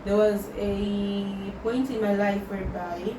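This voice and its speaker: A woman's voice: mid-range voice, fairly even intonation. Reflective young woman, distant roomy mic. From Tanzania. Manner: slow and thoughtful, mixing in Swahili words. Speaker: vlogger. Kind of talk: reflective personal vlog, answering questions. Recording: roomy sound from a distant mic with loud background noise.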